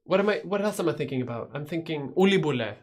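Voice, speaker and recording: A woman's voice: low voice, expressive, quick pace, a little mellow. Rambling Swedish-accented woman streamer. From Sweden. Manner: rambling, swears casually, frequent restarts and laughs. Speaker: YouTuber hosting a livestream. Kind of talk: casual livestream chat reading chat questions.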